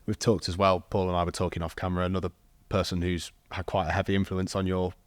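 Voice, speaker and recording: Male podcast host, very low steady voice, measured questions, dry studio. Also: no echo. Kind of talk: podcast interview.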